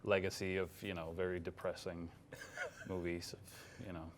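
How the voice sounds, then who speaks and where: A man's voice: low voice, level tone, moderate pace. A man from Sweden with a light Swedish accent, giving hesitant interview answers full of fillers and restarts. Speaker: film director.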